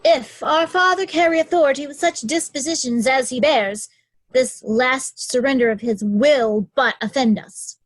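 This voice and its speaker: A scheming, impatient princess played by a woman in a Shakespearean reading. The voice is high-pitched and recorded very dry. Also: normal speed, no echo, low-quality recording. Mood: wary, urgent. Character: scheming, impatient royal daughter.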